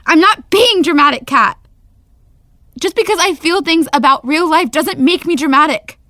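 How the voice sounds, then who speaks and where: A woman's voice: high voice, moderate pace, sharp tone, dry recording, studio quality. An actress playing an emotional young woman who is hurt and angry at her best friend. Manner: emotional and dramatic. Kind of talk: web series drama acting.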